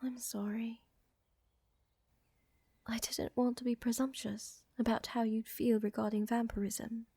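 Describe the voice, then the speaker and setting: A woman acting an elegant, flirtatious and tender vampire countess in a roleplay ASMR, with soft, breathy, genteel delivery that often drops to a whisper. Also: fairly high pitch, flat delivery, unhurried, pauses often, no echo. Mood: earnest, apologetic.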